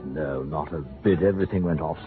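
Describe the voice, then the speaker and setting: An actor in an old radio drama playing a genial, fawning colonel who fences stolen goods, effusive and avuncular. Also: male voice, extremely low pitch, brisk. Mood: genial, soothing. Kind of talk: 1940s-50s radio drama acting. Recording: tinny old radio, music behind.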